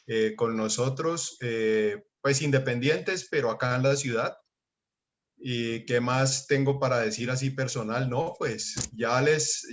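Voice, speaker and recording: A man in his sixties introducing his family plainly on a video call. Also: mid-range voice. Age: 60s. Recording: dull lo-fi call audio.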